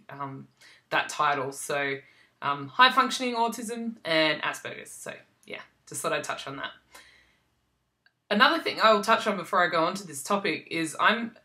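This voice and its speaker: A woman's voice: low voice, sharp tone. A young woman from South Australia with a general Australian accent, chatting casually to camera in a loose way, with many ums and restarts. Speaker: vlogger.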